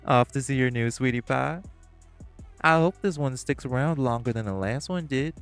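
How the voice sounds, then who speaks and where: A man's voice: mid-range voice, normal speed, clear, resonant tone, soft background music, close to an everyday voice. A man playing a smug, scheming gangster. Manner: smug, mocking, sly. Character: smug, scheming male gangster, sly and teasing. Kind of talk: audio roleplay acting.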